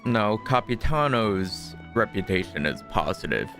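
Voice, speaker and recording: A low, unhurried male voice over clear game music. The man is a streamer chatting casually through his play, thinking aloud and dropping wry, sarcastic asides. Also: lively intonation. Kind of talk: casual gameplay commentary.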